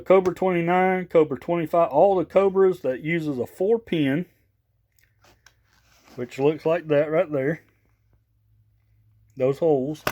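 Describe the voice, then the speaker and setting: A man's voice: on the high side, warm tone, muffled recording. Folksy man, a little tired. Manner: folksy, rambling, conversational. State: a little tired after work. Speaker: vlogger showing off a new CB radio. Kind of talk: casual unscripted product show-and-tell.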